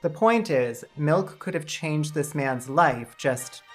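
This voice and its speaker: Canadian woman doing a funny scripted video essay, low pitched, dry fake-dramatic delivery, some music under it. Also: lively intonation, slow pace. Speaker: video essayist and channel host.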